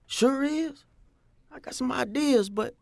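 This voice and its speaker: A man playing an old, teasing former slave and musician in an audio drama, with a husky, gravelly drawl. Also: very high voice, takes it slowly, no room sound, studio quality, full character voice. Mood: easygoing, wry. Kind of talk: nostalgic audio drama acting.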